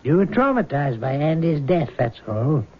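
An actor playing an authoritative male doctor in a vintage radio drama, measured, firm and reassuring. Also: a little high, sing-song. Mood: firmly reassuring. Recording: dull, noisy 1970s radio recording.

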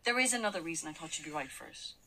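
An actress in a radio drama playing a practical, gently teasing woman who works alongside her partner. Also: low voice, lively intonation, rapid-fire. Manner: teasing, matter-of-fact.

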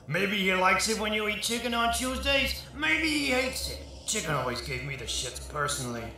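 Folksy drunk deity, male actor, highish creaky voice, blunt and wry stage dialogue, heavy room echo, faint noise. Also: very animated, normal speed, bright, forceful tone, full character voice. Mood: blunt, sardonic. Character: gruff, wisecracking drunken male God in hell. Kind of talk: stage-style comic drama dialogue.

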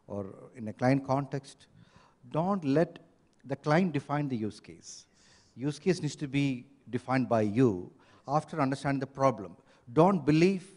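Male panelist, mid-pitched; firm advisory tone with restarts, handheld mic. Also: mellow. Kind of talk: conference panel discussion. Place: large hotel conference hall.

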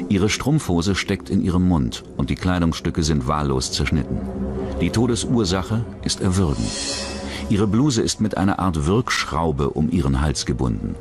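Very deep-voiced male documentary narrator. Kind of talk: scripted true-crime narration.

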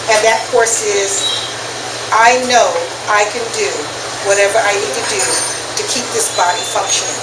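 An older woman giving an informal personal talk to a small group in a restaurant, speaking deliberately with pauses. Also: medium pitch, fairly even intonation. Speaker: guest speaker at a business networking meetup. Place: restaurant dining room. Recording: echoey, noisy room, distant mic, loud background hum.

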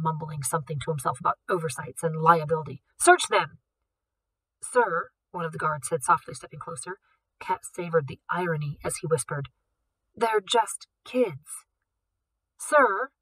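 A woman's voice: mid-range voice, husky, no room sound, distant mic, poor audio. A woman, a teacher, reading a novel aloud, lifting her voice for the characters' lines. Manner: shifts up and louder for dialogue.

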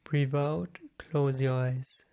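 A man leading a guided meditation, giving calm, measured instructions in short phrases. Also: medium pitch, gravelly, clear, resonant tone.

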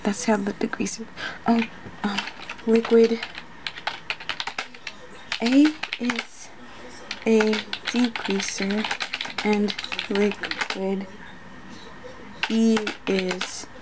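A woman's voice: medium pitch, flat delivery, extremely slow, pauses often, noisy background. A woman doing an ASMR roleplay as a flustered student, muttering hesitantly to herself, repeating words and often slipping into whispers. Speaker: ASMR roleplayer acting as an unprepared student taking a quiz.

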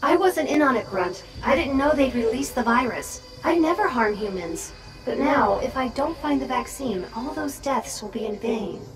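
A woman playing a brisk, sardonic little robot drone companion in a video game, talking in a wry, matter-of-fact way. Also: high voice, lively intonation, rough recording. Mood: earnest. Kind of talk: video game character dialogue. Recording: game music behind the voice.

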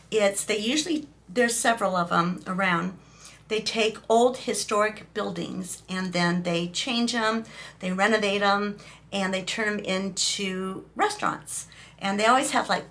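A woman in her fifties talks slowly to the camera in a small room, her voice hoarse and gravelly after a cough. Her tone is bright and a little sharp, and she rambles in an emotional, chatty way. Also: mid-range voice. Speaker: vlogger. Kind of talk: casual talking-head vlog.

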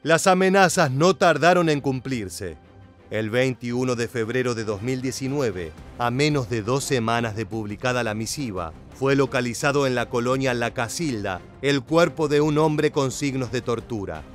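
A man giving scripted voice-over narration at a steady, measured pace. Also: medium pitch, soft background music. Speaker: documentary narrator.

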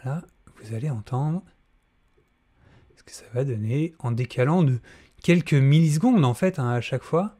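A man's voice: mid-range voice, expressive, moderate pace, long pauses, warm tone, slightly rough. A middle-aged man hosting an informal spoken tutorial, close to a desk microphone in a small room. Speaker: audio fiction tutorial host. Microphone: close desk microphone, wearing headphones.

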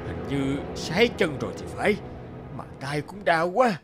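A man's voice: fairly high pitch, very animated, normal speed. An actor voicing a greedy, gluttonous rich old man in a cartoon, whining and stammering. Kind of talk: cartoon voice acting. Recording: music behind voice.

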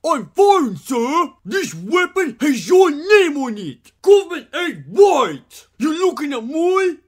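An actor voicing a brash, reckless soldier, yelling taunts. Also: high-pitched, sing-song, slow, heavily acted.

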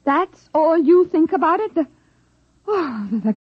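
A woman's voice: high-pitched, animated, unhurried, no echo. An actress playing an imperious, officious female inspector with clipped delivery in 1950s radio style. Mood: indignant. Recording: dull old 1950s radio recording.

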